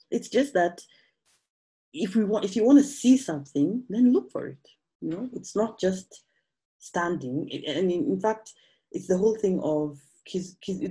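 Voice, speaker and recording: A woman with a Kenyan English accent speaks in a low, mellow voice. She chats freely and animatedly, stopping and restarting her thoughts as she answers questions. She is heard over a laptop video call from a room at home. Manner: animated, gesturing hands, chatty with frequent restarts. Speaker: musician guest speaker. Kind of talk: casual talk answering audience questions. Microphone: video call laptop camera.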